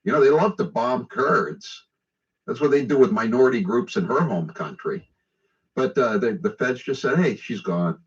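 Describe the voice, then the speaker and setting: A man's voice: mid-range voice, expressive, moderate pace, far from the mic. An American man delivering an opinionated, sarcastic radio monologue in a mocking, conversational way. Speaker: political talk radio host. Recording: dull lo-fi radio relay.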